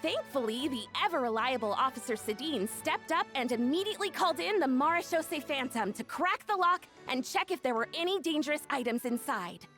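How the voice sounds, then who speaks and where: A woman's voice: sits high, lively intonation, fairly quick, hardly any pauses, a bit bright, soft background music. A woman playing an earnest, polite, easily flustered event worker in a video game. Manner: hesitant, eager, polite.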